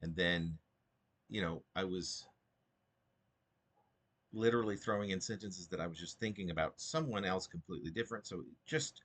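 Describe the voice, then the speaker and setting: A man with a husky voice speaking as a poet about and from his own work, in an informal, halting and digressive way. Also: sits low, a bit bright. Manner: halting, digressive, self-interrupting. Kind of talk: rambling informal commentary and poetry reading.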